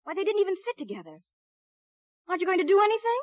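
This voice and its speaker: Young female witness: high, earnest, anxious urgency, old-time radio drama with muffled sound. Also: sing-song, rapid-fire, no room sound. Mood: urgent, insistent. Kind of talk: 1950s radio drama acting. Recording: dull, dry 1950s radio recording.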